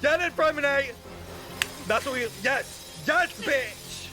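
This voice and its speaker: High-pitched man gushing dramatically over a game. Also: expressive, slow pace, music in the background. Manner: dramatic, gushing, profane, repetitive exclamations. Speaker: livestreamer reacting to a game. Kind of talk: excited live game reaction with slang.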